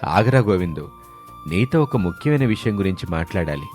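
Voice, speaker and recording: A man voicing a kindly older landlord in an animated moral story, fatherly, affectionate and authoritative. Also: sits low, brisk, faint music behind.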